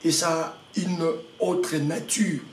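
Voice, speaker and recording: High-pitched, congested man preaching slowly. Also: steady tone. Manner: halting, many hesitations and repeated words, rhetorical questions to listeners. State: congested with a head cold. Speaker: pastor. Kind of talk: prayer-meeting sermon. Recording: echoey room, mic at a distance, faint background noise.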